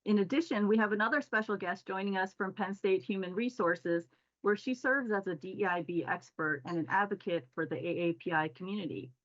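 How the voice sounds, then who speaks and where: A woman's voice: mid-range voice, a little fast, dull audio. A woman hosting a panel discussion, reading formal introductions and questions. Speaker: panel moderator.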